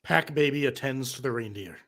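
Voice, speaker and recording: A man's voice: mid-range voice, a little fast, a bit bright. A man reading scripted cartoon story narration at a live table read.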